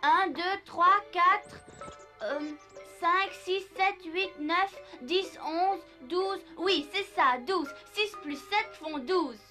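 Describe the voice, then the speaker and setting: A cheeky little wooden puppet boy in a cartoon dub. The voice is high and bright, hesitant and easily sidetracked, with music playing behind it. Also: very slow, full character voice. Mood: stalling, distracted.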